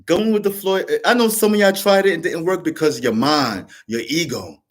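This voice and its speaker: A man's voice: on the high side, moderate pace. A man hosting his vlog, talking through a casual motivational monologue, rambling and restarting often. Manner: rambling, repetitive, frequent restarts. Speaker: vlog host.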